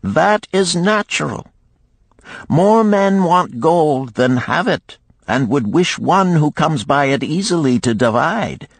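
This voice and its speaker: A man's voice: a little high, lively intonation, slow, slightly rough, dry recording, voice pushed up, exaggerated voice. A man voicing a shrewd moneylender of ancient times in a storybook, with grand archaic phrasing and probing exclamations. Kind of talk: audiobook storytelling.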